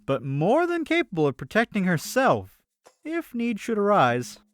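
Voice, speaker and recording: A woman's voice: medium pitch, wildly swooping pitch, unhurried, no echo, soft background music. A woman playing a candid, protective and skeptical adventurer in a fantasy audio drama.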